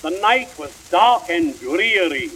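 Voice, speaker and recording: A man voicing old Santa Claus, telling children a slow, grand, sing-song story on an old recording. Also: on the high side, sing-song, bright, forceful tone, a little hiss or hum, exaggerated voice, low-quality recording. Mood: storytelling. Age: older.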